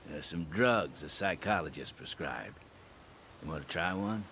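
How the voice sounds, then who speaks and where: A casual, gravelly shelter resident. His intonation moves fairly freely, and there is faint ambient noise. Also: deep voice, expressive, a little slow, bright, forceful tone, heavily acted. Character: casual male shelter resident offering pills and smokes. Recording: slight noise, outdoor-style ambience.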